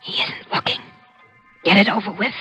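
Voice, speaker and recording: An actress playing a cold, goading accomplice to murder in a 1940s radio drama. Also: female voice, medium pitch, moderate pace, sharp tone, breathy edge, soft background music. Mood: cold, urgent. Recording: muffled vintage 1940s radio broadcast.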